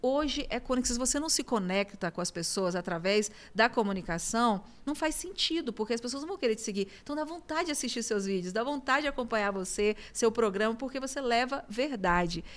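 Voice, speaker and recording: A woman's voice: on the high side, expressive, quick pace, hardly any pauses. A woman from Minas Gerais hosting a studio talk show, effusive and talkative, laughing often as she chats with her guest. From Minas Gerais, Brazil. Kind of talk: casual studio interview.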